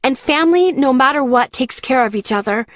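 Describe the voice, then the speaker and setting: Caring female friend in an audiobook, higher voice, heartfelt and emphatic, dry recording played by a woman narrator. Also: expressive, brisk, a bit bright, no echo. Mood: earnest, loving. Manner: earnest, emphatic.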